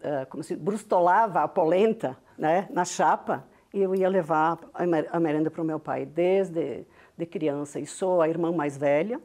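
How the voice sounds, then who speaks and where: An older woman with a strong Italian Veneto-flavored Brazilian accent speaks in a low, mellow voice. She talks in an unhurried, reflective way, proud of where she comes from. She reminisces as an interview guest. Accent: Italian Veneto-influenced Brazilian Portuguese. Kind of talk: reminiscing storytelling.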